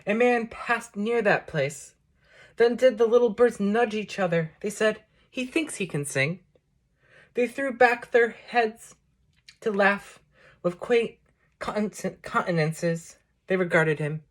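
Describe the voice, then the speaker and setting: Woman reciting poetry in measured phrases. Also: mid-range voice, expressive. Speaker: poetry reader introducing a book. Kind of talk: recited poetry reading with short spoken introduction.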